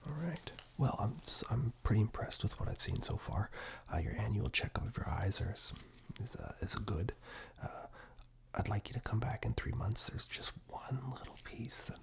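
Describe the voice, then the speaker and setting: Low, creaky whispering man, ASMR. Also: expressive. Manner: gentle, reassuring, unhurried. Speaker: ASMR performer. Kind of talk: whispered ASMR roleplay and personal attention. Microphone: close binaural microphone.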